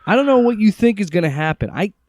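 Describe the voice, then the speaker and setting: An actor playing a sarcastic, flustered young government agent in an audio drama. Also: male voice, sits high, sing-song, fast talker, darkish tone, big-room sound, slight background noise.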